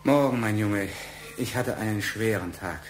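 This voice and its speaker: An actor playing a middle-aged architect and father in a German radio play, halting and slightly pompous. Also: lowish voice, soft background music, natural voice. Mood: tired, gentle. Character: middle-aged architect, hesitant, self-important family father.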